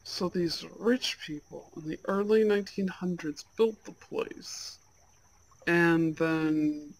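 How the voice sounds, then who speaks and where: A performer acting as a charming young Goth gentleman in an ASMR date roleplay. He talks very slowly and softly at a middling pitch, with courteous, slightly old-fashioned phrasing and a gentle manner toward an unheard listener. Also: close to an everyday voice, poor audio.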